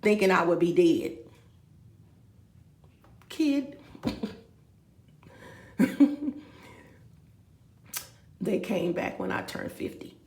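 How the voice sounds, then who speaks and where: Woman in her 50s, vlogger with a gravelly voice, echoey room, rambling and chatty. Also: on the low side, lively intonation, very slow, long pauses, darkish tone. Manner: rambling, talks straight to viewers with asides. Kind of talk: rambling confessional vlog. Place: room with a bed, indoors.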